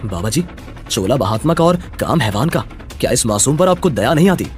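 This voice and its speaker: A man's voice: mid-range voice, big pitch swings, fairly quick, a little mellow, soft background music, lightly acted. Man playing an earnest devoted young husband. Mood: indignant.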